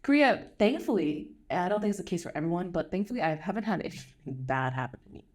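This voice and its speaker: A woman's voice: lowish voice, lively intonation, fast, darkish tone. A woman with an American accent chatting casually as a podcast guest, in a conversational way. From United States. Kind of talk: casual chat.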